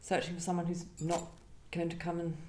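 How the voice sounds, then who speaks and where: A woman poet with a soft, dark-toned voice speaks in a literary setting. She is reflective and feels for her words, and the room rings noticeably around her. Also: on the low side, monotone, a little fast, slight background noise. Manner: hesitant, searching, thoughtful phrasing. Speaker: poet discussing own work. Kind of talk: reflective literary conversation with poetry reading. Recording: roomy live event sound.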